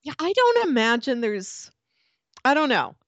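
A woman's voice: high-pitched, measured pace, no room sound, dull audio. A woman co-hosting a podcast, talking casually and with animation about a TV show. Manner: animated, laughs while talking. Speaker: podcast co-host.

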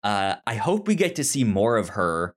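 A man co-hosting a casual TV discussion podcast, speaking haltingly with stammered, repeated syllables and words. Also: fairly low pitch, very animated. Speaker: podcast co-host.

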